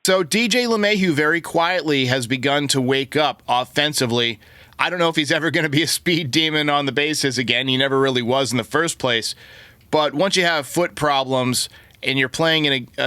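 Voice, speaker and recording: A man's voice: on the high side, normal speed, sharp tone, no room sound. A man hosting a sports show, giving conversational baseball commentary to his listeners. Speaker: sports podcast host.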